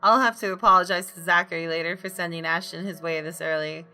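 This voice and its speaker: Bright-voiced woman reading a story game aloud. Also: lowish voice, steady tone, fast talker, clean tone, soft background music. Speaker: gaming video host. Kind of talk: reading visual novel narration aloud, with asides.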